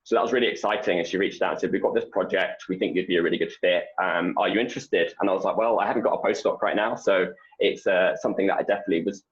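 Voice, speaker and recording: Young Englishman, low-voiced, loose chatty answers full of ums over a dull video call line. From England. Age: young adult. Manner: relaxed, rambling with many fillers and restarts. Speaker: postdoc researcher guest. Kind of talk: casual interview answers.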